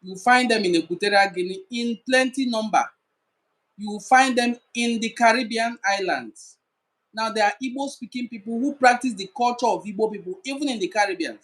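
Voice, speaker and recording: Nigerian man, fairly high voice for a man, emphatic explainer who repeats and lists points. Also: a little fast, a bit bright. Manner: repetitive, emphatic listing. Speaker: cultural commentator. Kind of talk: educational talk to camera.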